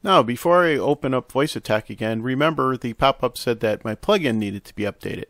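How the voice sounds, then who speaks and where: Man with gravelly, creaky tutorial voice. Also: mid-range voice, animated, a little fast, fairly bright tone, dry recording. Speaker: tutorial host. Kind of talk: casual software tutorial narration. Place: close, dry indoor voice-over for a screen recording.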